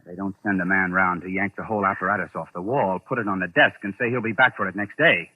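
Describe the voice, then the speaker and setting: An actor playing a shrewd, knowing investigator in a 1940s radio suspense drama, deliberate and authoritative. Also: male voice, sits low, fairly even intonation, pushed and bright, slightly rough, heavily acted. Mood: explaining, ominous. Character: shrewd, commanding man investigating a sinister mystery. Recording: muffled old radio drama recording, phone-like.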